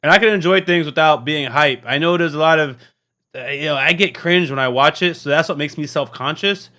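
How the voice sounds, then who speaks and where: A man's voice: on the high side, fairly quick, sharp tone. A man hosting a reaction video, talking casually and candidly in a rambling, tired way. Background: music.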